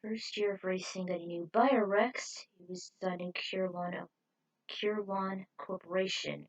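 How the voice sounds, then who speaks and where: Woman reading her fanfic out loud, mid pitch voice, informal, drops in her own opinions. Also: measured pace, dry recording, rough recording. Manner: informal, breaks off for opinionated asides. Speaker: storyteller reading own fan fiction. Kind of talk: narrated fan fiction reading with asides.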